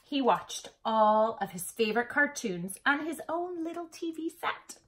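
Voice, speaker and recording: A woman's voice: sits high, big pitch swings, a little slow. A woman hosting a read-aloud for young children, speaking affectionately and expressively, with emphatic storyteller phrasing addressed straight to the listener.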